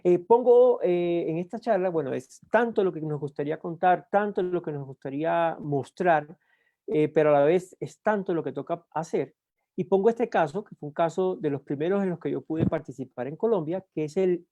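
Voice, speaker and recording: A man from Cuba with a Cuban accent, lecturing at a university in an unhurried way, often pausing on "eh" and restarting words. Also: a little high, warm tone, no room sound, lo-fi sound. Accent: Cuban Spanish. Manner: unhurried with frequent "eh" fillers and restarts. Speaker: guest lecturer. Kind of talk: university talk.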